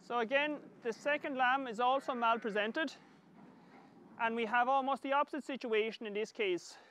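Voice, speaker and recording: A middle-aged man with an Irish accent giving calm, measured hands-on instructional commentary as an agriculture professor. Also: very high-pitched, slight background noise, low-quality recording. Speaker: agriculture professor demonstrating lambing. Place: large open livestock shed with straw pens.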